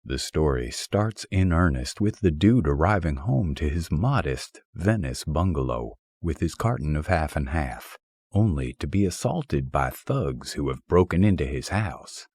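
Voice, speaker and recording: A man reading a humorous philosophical essay as scripted audiobook narration, with husky, measured, deliberate phrasing. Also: a bass voice, lively intonation, a little mellow, dry recording.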